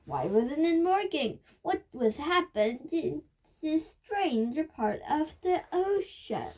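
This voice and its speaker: A female child reads a book aloud in a high voice. She takes it slowly and carefully, word by word, with the odd halting stumble along the way. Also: sing-song, darkish tone. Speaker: reader of a children's nonfiction book.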